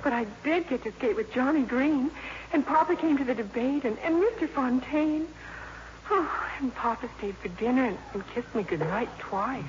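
A woman's voice: high-pitched, lively intonation, moderate pace, slight background noise. An actress playing an earnest, easily flustered teenage girl in a 1950s radio drama. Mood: dreamy, happy. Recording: muffled vintage radio, music behind.

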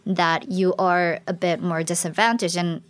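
A woman's voice: fairly low pitch, fairly even intonation, measured pace. A woman researcher explaining her work in an interview, thoughtful and explanatory, often restarting her sentences. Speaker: researcher guest. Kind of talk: academic interview talk.

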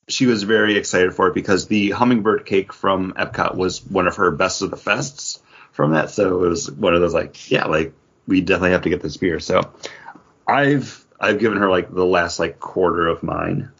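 A man with a low-pitched, distinctly husky voice chats casually as a podcast co-host. His audio is a little muffled and lo-fi. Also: fairly bright tone. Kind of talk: casual chat.

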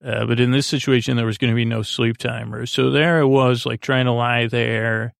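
Male podcast host for bedtime rambling: tired, even-toned delivery with vocal fry, halting and meandering. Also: mid-range voice, no echo, clean studio sound. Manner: meandering, halting, repeats words. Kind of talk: rambling bedtime monologue to help listeners sleep.

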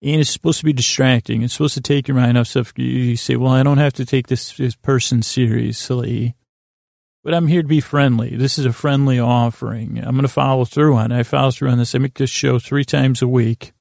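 A man with a creaky voice hosting a bedtime podcast, rambling in a meandering, halting and repetitive way to help listeners fall asleep. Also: medium pitch, fairly even intonation, a little mellow, no room sound, clean studio sound. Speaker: podcast host.

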